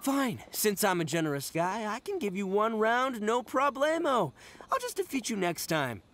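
A man's voice: high voice, big pitch swings, moderate pace, a bit bright, slight background noise. A man voicing a brash, boastful, big-hearted young oni gang boss in a video game, cocky and slangy. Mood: generous, boastful.

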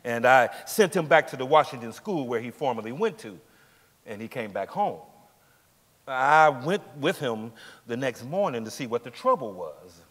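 A reverberant theatre stage carries a man playing a middle-aged working man giving court testimony. He is steadfast and formally polite, answering deliberately with small hesitations, at an ordinary male pitch. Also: very animated, fairly bright tone. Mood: plain, polite. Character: steadfast forty-nine-year-old working man testifying as witness. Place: large indoor theatre stage. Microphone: lapel mic.

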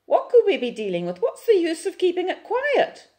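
A woman voicing a distraught mother who turns sharp and accusing, her voice yelping into shouts. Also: expressive, unhurried. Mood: frantic. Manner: shrill, breaking into yells.

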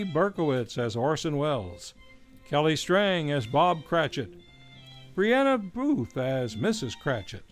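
Man, radio show announcer, scripted credits, formal enunciation, animated intonation, soft music underneath. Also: on the high side. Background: faint music.

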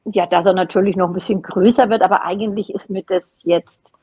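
Husky middle-aged woman chatting casually. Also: medium pitch, steady tone, brisk, dark tone, lo-fi sound. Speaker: podcast guest, hobby knitting creator. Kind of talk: casual chat. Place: small home room at a table, indoors.

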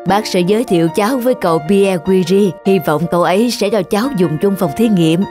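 A man's voice: sits high, fairly quick, lightly acted. A man as a kindly old professor. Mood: genial. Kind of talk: animated drama dubbing. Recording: music under the voice.

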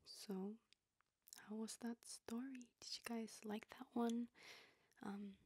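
A young woman reading a story aloud in a slow, soft, breathy ASMR whisper, her voice low. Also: steady tone. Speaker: ASMR host. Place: dark room lit by a candle.

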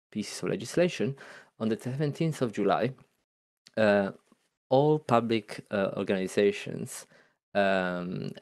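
Gravelly Italian-accented man presenting online. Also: on the low side, a little mellow, no room sound, studio quality. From Italy and Britain. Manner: hesitant with many fillers. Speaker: conference presenter. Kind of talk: webinar presentation. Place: home room, indoors.

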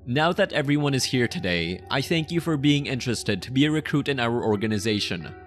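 A man's voice: mid-range voice, animated, soft background music, lightly acted. A man playing a possessive, menacing yet playful mafia boss in an ASMR roleplay, with a teasing, theatrical delivery. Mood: commanding, menacing. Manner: slow teasing, sinister chuckles, theatrical.

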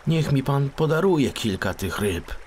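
A man's voice: mid-range voice, sing-song, unhurried, darkish tone, a little hiss or hum, pitched above the performer's own voice. A man voicing a humble, desperate street fiddler in a children's audiobook, meek and beseeching. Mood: pleading.

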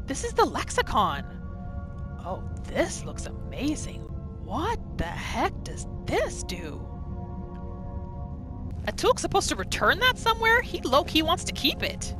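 A woman giving excitable playthrough commentary, exclaiming and talking to the game's characters as she roleplays. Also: sits high, takes it slowly, pauses often. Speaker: gaming video host. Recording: game music behind the voice. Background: video game music and sounds.

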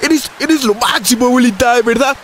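A man's voice: very high voice, brisk, fairly bright tone, a little hiss or hum. A man playing a needy, stammering, childlike obsessive fan with a Mexican accent in a comedic radio sketch. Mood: fawning. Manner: needy, stammering, repeats himself. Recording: music bed under the voice.

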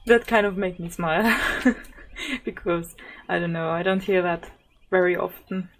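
A woman's voice: fairly low pitch, normal speed, slight background noise. A German woman with a sore throat, casually commenting on a zoo game, thinking aloud with fillers and small laughs. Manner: soft-spoken, thinks aloud with many fillers and little laughs. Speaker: gaming channel host.

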